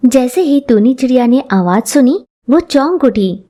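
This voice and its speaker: A woman's voice: high voice, animated, a little slow, mellow. A woman storyteller reading a scripted children's story as narration.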